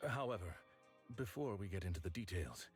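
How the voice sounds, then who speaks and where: An actor playing an earnest, courteous and remorseful male agent in a video game, speaking in a formal, measured way. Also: low-pitched, expressive. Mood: grave concern. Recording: background music behind the voice.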